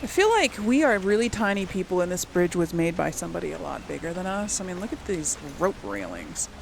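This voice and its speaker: Casting: female let's play host, casual and chatty, noticeable vocal fry, mid pitch, faint game ambience behind. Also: very animated, fairly quick, a little hiss or hum. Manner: chatty, musing aloud. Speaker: gaming video host.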